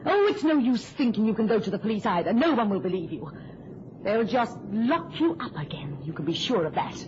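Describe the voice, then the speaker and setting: Cold bossy murderess, old radio play, muffled and hissy. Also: female voice, on the high side, sing-song, fairly quick. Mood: gloating, menacing. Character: scheming, domineering murderess, cold and menacing. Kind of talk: old-time radio drama acting.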